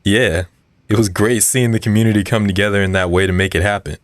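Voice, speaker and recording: A man playing a calm, earnest community activist being interviewed in an audio drama, speaking in a measured way. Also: lowish voice, dry recording, natural voice. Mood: resolute. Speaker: radio show guest.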